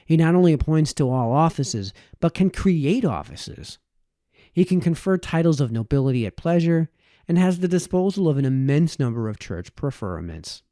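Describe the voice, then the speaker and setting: A man reading a formal historical essay aloud as an audiobook narrator, with measured, emphatic phrasing. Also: on the high side, lively intonation, dark tone, no echo, studio quality. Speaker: audiobook reader.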